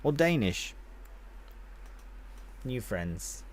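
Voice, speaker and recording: A man's voice: mid-range voice, very animated, extremely slow, slight background noise. A man streaming a game live, chatting casually and rambling as he answers viewers with friendly banter.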